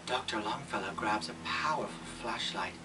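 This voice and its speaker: A man playing the storyteller of an audio drama, reading scripted narration in a measured way. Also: on the low side, far from the mic, noisy background, close to an everyday voice.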